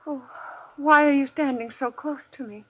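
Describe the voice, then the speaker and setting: An actress playing a timid, anxious wife, hesitant and pleading, in an old-time radio horror drama. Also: female voice, high-pitched, steady tone, moderate pace, close to an everyday voice. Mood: alarmed, pleading. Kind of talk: golden age radio horror acting. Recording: tinny, narrow old radio recording.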